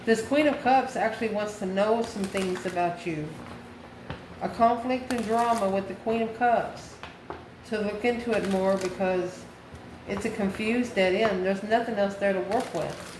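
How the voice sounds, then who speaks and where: An echoey room with noticeable background noise surrounds a woman of ordinary pitch. She reads tarot as a channel host, speaking directly to the viewer in a folksy, familiar way and repeating phrases for emphasis. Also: a little slow. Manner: folksy, familiar, addresses listener as honey and baby. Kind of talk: tarot reading addressed to viewers.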